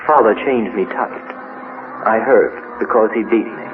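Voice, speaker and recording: A man's voice: lowish voice, unhurried, lightly acted. A man voicing a chained, hurt monster child in a horror monologue, telling its story in simple, broken childlike sentences. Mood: fearful, secretive. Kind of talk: first-person dramatic horror monologue. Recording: noisy, tinny narrow-band radio-like sound.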